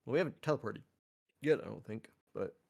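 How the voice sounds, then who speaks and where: A man's voice: medium pitch, fairly quick, gravelly, a little mellow. A man playing a tabletop roleplay game, talking casually and hesitantly, with some swearing. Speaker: player.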